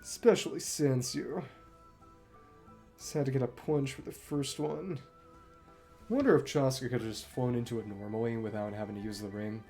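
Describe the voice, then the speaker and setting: A woman's voice: extremely low pitch, normal speed, long pauses, mellow. A woman streaming a video game, giving casual commentary in short remarks to herself while game music plays. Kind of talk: casual gameplay commentary mixed with reading dialogue aloud.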